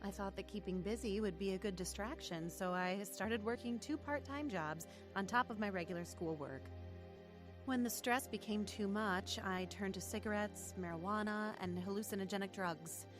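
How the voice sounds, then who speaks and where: A woman's voice: medium pitch, moderate pace, over music, lightly acted. A woman playing a troubled, lonely and cynical teenage girl who tells her story plainly in an audio drama. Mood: bleak. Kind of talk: audio drama acting and first-person narration.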